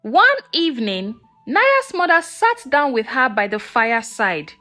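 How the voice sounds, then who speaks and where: A woman telling a scripted folktale as a storyteller, in a measured, expressive and dramatic way. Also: sits high, soft background music. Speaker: storyteller narrator.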